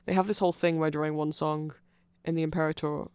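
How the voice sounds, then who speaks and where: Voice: mid-range voice, level tone, fast, darkish tone, clear, resonant tone, dry recording. A person giving an informal, rambling ASMR-style explanation full of asides, close to the microphone. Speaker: ASMR audio creator.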